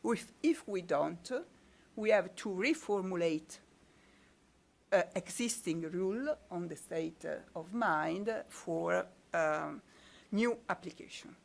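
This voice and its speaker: A woman giving a conference presentation in a halting, hesitant way, often repeating words. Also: fairly low pitch, gravelly. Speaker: panel speaker.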